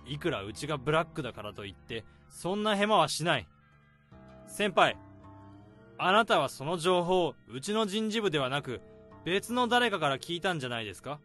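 Guy playing a calm probing salaryman detective, kinda high voice, music under it. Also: moderate pace. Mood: accusing. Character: sharp office worker turned detective, young man. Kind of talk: radio drama acting.

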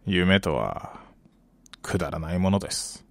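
A man's voice: sits low, lively intonation, measured pace, creaky, no echo, full character voice. An actor playing a cool, cynical man in a radio drama, speaking in a detached, cryptic and curt way. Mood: dismissive. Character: cool, cynical male stranger. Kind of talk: Japanese radio drama acting.

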